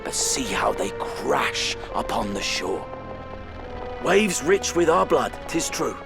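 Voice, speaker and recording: An actor playing an embittered rebel leader in a video game, grave and emotional with poetic phrasing. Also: male voice, mid-range voice, big pitch swings, takes it slowly, creaky, heavily acted. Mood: solemn bitterness. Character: embittered, solemn male rebel brigade leader. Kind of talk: dramatic video game acting. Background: music.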